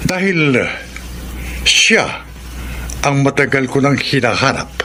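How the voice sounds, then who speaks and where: Pensive middle-aged Filipino father, animated pitch played by an actor. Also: slow. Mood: secretive, grave. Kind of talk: Filipino audio drama acting. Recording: noticeable background noise.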